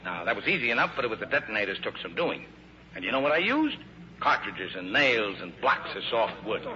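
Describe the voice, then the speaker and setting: An actor playing a gruff riverboat captain with folksy, ungrammatical speech in an old-time radio drama. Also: male voice, lowish voice, big pitch swings, brisk, sharp tone. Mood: proud. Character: gruff, plain-spoken riverboat captain, soft on his sweetheart. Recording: dull, faintly noisy vintage radio recording.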